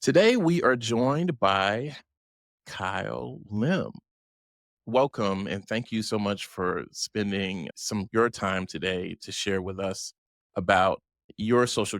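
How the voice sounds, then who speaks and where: A man hosting a podcast interview, speaking in an earnest, gracious way, reading a slow scripted opening. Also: fairly low pitch, fairly bright tone, no room sound, clean studio sound. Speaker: podcast host.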